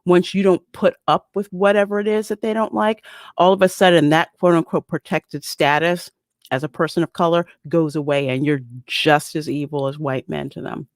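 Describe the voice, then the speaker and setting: A woman's voice: sits low, level tone, normal speed, no echo. A woman speaking as a panelist in a casual chat, conversational and outspoken.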